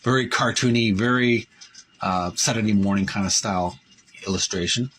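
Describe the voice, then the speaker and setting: A man hosting a video channel, narrating a show-and-tell of a book, reading copy aloud and pausing between short phrases. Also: lowish voice, fairly bright tone, a little hiss or hum, poor audio.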